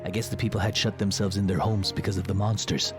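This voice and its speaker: Man playing an earnest low-voiced young soldier. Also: level tone, fast talker, music in the background, natural voice. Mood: reflective. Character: earnest young male soldier recounting his past. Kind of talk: video game voice acting.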